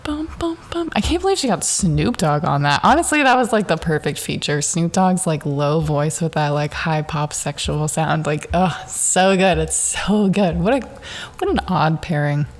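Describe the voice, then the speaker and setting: A young woman hosting a casual solo podcast, chatting and rambling with a self-mocking streak and plenty of "like" fillers, recorded at home in the dark. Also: deep voice, lively intonation, normal speed. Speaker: podcast host. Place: at home in the dark during a power outage.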